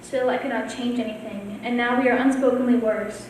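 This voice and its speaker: A teenage girl, a high school student, reciting her own poem at a reading. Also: fairly even intonation, fairly quick, darkish tone. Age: teens. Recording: very echoey room, distant mic, faint noise.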